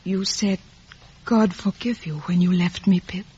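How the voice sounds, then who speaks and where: An actress playing a once-proud lady, now humbled, speaking in a halting, breathy, pleading way in a 1950s radio melodrama. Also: on the low side, steady tone, warm tone. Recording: muffled vintage 1950s radio recording.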